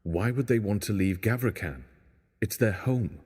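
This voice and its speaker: A man's voice: lowish voice, moderate pace, a little mellow, husky. A man playing a reluctant, sceptical survivor stranded on an alien planet in an audio drama, speaking in an insistent, dismissive way. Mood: exasperated.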